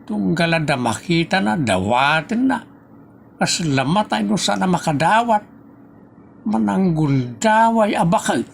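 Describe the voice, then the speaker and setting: A man's voice: high-pitched, animated, a little slow, vocal fry, a little hiss or hum, exaggerated voice. A man with an Ilocano accent playing a grumbling, exasperated village father in a comedy audio drama, complaining in exclamations.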